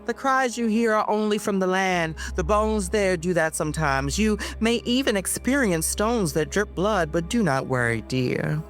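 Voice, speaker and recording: A woman's voice: medium pitch, lively intonation, moderate pace, hardly any pauses, faint music behind, voice pushed up, close to an everyday voice. A woman performing a spoken-word poem in the voice of a falsely soothing colonizer, condescending and full of stumbling self-corrections. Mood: sneering.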